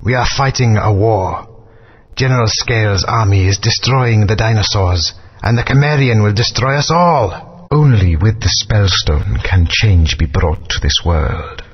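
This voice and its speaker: An ancient, solemn spirit speaks in a measured, grave way. His low voice is deeply husky. The sound is dull, lo-fi game audio. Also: expressive. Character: ancient solemn male Krazoa spirit, telepathic.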